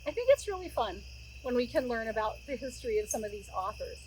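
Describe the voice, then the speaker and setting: A middle-aged woman narrating a storybook aloud for young listeners, expressive, with chatty asides. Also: on the high side, quick pace, a little hiss or hum, poor audio. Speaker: children's story reader. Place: outdoors near a road, woods.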